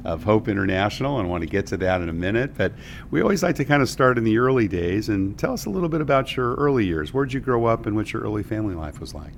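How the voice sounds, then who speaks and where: A man's voice: on the low side, expressive, quick pace, vocal fry, a little hiss or hum. A man hosting an interview podcast, chatty and rambling, with frequent restarts. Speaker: podcast host.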